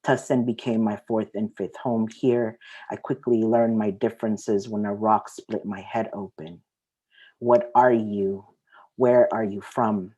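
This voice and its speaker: Woman, thirties, spoken-word poet, mellow relaxed tone, open mic delivery. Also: very deep voice, a little slow, low-quality recording. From Mexico, raised partly in the US Southwest. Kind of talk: spoken-word poetry reading with casual introduction.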